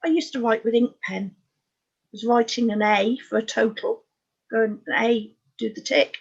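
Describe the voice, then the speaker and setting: A woman in her sixties with an English accent, telling her life story as an interview guest in a frank, halting, matter-of-fact way. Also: on the high side, steady tone, gravelly, breathy edge, lo-fi sound. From Oxfordshire, England. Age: 60s. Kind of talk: candid personal life story in conversation.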